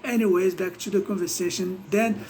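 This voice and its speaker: High-voiced Brazilian man rambling. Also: fairly even intonation, darkish tone, a little hiss or hum. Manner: rambling, halting, many restarts. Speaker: vlogger. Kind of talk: casual monologue to camera.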